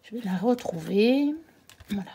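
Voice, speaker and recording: A woman hosting her own channel, comparing two products in a casual, hesitant way with frequent filler pauses. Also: mid-range voice, lively intonation, gravelly, warm tone, slight background noise. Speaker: channel host reviewing tarot decks.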